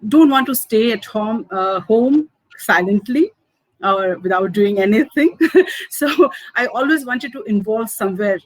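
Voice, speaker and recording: A Manipuri woman in her fifties tells her life story in English, her third language after Hindi and Manipuri. She talks haltingly, with many fillers and soft laughs, at a middle pitch, and the room sounds dry and close. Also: lively intonation, dry recording, low-quality recording. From Manipur, India. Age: 50s. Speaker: food entrepreneur. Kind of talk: interview answers, personal story.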